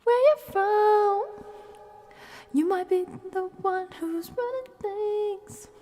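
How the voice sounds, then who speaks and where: A woman livestreamer casually singing along and making soft, playful chatty asides, sometimes in a whisper. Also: very high-pitched, slow. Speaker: livestreaming ASMR creator. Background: music playing from a TV.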